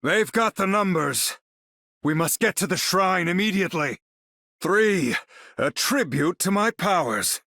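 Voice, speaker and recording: A proud male sorcerer supreme from a video game. His delivery is grand and formal, as if every line were an incantation, with very animated pitch swings. The sound is dry and close. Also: unhurried, no room sound, exaggerated voice. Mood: commanding, urgent. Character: proud, battle-ready sorcerer supreme, grandly theatrical hero. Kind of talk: video game character voice lines.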